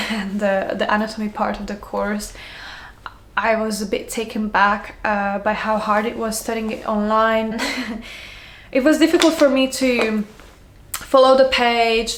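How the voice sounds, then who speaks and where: A woman's voice: a little high, roomy sound. Chatty woman with a mixed Slovak-Hungarian accent. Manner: chatty, many ums and restarts, laughs easily. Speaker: yoga teacher vlogger. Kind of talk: casual chat to camera.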